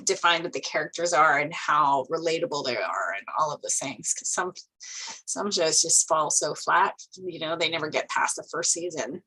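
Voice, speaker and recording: Woman, bright edge, slightly low pitch, reflective, casual discussion. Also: normal speed, husky, rough recording. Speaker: discussion participant.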